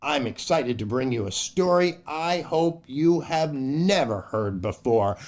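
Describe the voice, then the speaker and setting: Animated, unhurried male children's storyteller. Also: mid-range voice. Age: older. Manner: playful, exaggerated, theatrical delivery. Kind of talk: animated kids' show hosting, joke telling and read-aloud.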